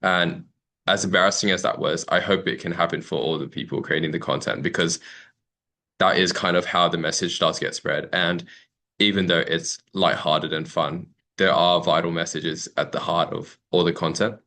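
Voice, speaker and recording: A man's voice: low voice, fairly even intonation, moderate pace, vocal fry, bright, forceful tone, slight background noise. A young man giving an informal, reflective presentation as a youth committee member. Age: young adult. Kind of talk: informal presentation to an online meeting.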